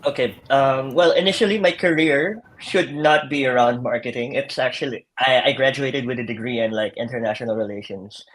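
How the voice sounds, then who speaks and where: Relaxed young man, lots of "like". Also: medium pitch, a little fast, few pauses, poor audio. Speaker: guest, former intern. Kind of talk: casual live-stream chat. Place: home, Madrid. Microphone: video call.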